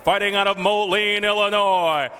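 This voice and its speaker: A man working as ring announcer at a mixed martial arts event, giving formal introductions with showy, drawn-out names. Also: sits high, steady tone, bright, forceful tone. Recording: long echoing arena reverb.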